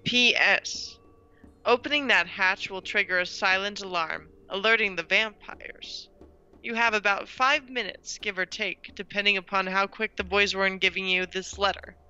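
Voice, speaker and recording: A woman's voice: medium pitch, fairly even intonation, moderate pace, bright, forceful tone, clear, resonant tone, muffled recording. A woman playing a determined young sister in an audio drama, commanding and direct, over music. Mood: focused.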